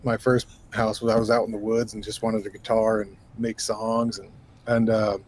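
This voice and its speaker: A man with a low, raspy voice talks as a podcast guest. He speaks in an animated, rambling way, with lots of "you know" and "like." He sounds like a relaxed man in his thirties chatting about his life. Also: moderate pace, slight background noise, low-quality recording. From New York State. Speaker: guest musician. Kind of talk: casual interview conversation.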